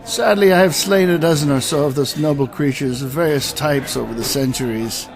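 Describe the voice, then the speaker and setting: A man's voice: fairly high pitch, a little fast, faint music behind, natural voice, poor audio. Man playing a stately, regretful immortal knight. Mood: melancholy. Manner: formal, stately, archaic phrasing. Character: weary immortal old warrior knight, honorable and regretful. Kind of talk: fantasy audio drama acting.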